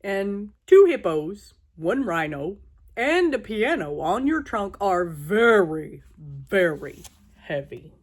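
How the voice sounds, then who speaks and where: An earnest male elephant from a children's storybook, played by a woman, recounts his troubles with dramatic emphasis. The delivery is drawn out and deliberate, and the pitch rises and falls widely. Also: very animated. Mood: grave, dramatic.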